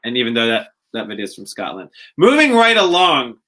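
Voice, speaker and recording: Bright rambling podcast co-host. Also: male voice, mid-range voice, huge pitch swings, fairly quick. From America, later lived in Israel. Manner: rambling, joking, self-interrupting. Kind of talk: casual chat.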